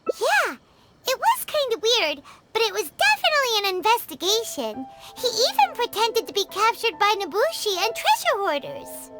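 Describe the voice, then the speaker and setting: A woman voicing a tiny, childlike fairy companion in a video game, chirpy and squeaky, over jingly music. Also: huge pitch swings, moderate pace, exaggerated voice. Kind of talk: scripted video game dialogue. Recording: loud jingly music behind the voice.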